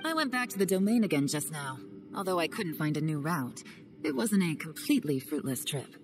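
An actress voicing a cool, secretive young woman agent in a game cutscene, speaking in a calm, measured way. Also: background noise. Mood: calm, analytical. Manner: calm, measured, low-key. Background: game music.

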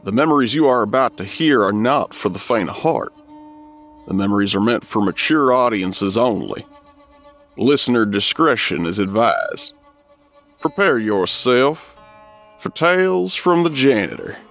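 Gravelly man announcing a horror series. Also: medium pitch, lively intonation, unhurried, a bit bright, faint music behind. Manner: solemn, foreboding. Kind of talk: scripted horror series introduction.

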